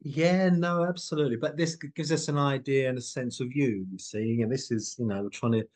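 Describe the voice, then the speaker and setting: A man's voice: mid-range voice, steady tone, fairly quick, darkish tone. A man hosting a short interview, asking friendly questions in an upbeat, encouraging way and often restarting his phrases. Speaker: interview host, company CEO.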